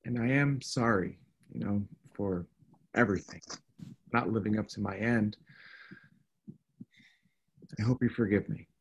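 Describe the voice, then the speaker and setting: An actor playing a playful, humble, apologetic and affectionate husband in a radio drama. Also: male voice, lowish voice, a little slow, long pauses, slightly rough, low-quality recording.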